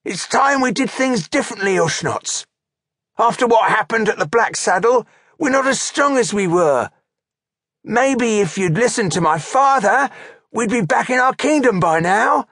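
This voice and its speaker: High, husky, insolent orc trooper. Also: male voice, animated, measured pace, dry recording, clean studio sound, heavily acted. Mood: defiant. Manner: high-pitched, loud, challenging. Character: defiant male orc trooper, insolent troublemaker challenging his chief.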